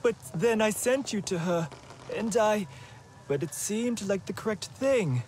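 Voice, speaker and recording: An actor voicing an anxious, earnest alien healer in a video game cutscene, with an emphatic, halting delivery. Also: male voice, high-pitched, expressive, a little mellow, a little hiss or hum. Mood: anxious, troubled. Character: anxious, excitable male Na'vi healer, worried and earnest.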